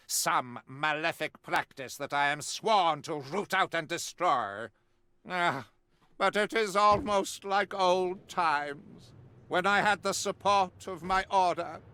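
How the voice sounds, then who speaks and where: Casting: a zealous, stern witch hunter in a fantasy game; tense bright male voice, measured delivery, theatrical and self-righteous. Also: sits high, clean tone, exaggerated voice. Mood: grim, brooding. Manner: pompous, archaic, emphatic declamation. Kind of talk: theatrical scripted video game monologue.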